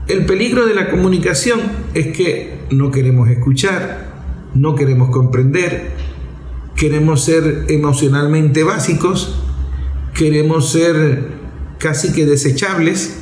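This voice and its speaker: A man's voice: a little high, measured pace, darkish tone. A man giving reflective, didactic commentary in an emphatic, rhetorical way, with posed questions and repeated phrasing. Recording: echoey room.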